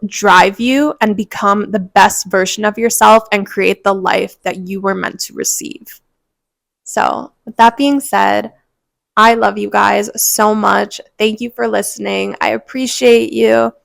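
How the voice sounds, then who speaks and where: Gravelly-voiced woman giving upbeat encouragement. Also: medium pitch, a bit bright, no echo. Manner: earnest, encouraging, speaks directly to listeners. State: a little congested. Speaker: podcast host. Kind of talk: motivational podcast talk.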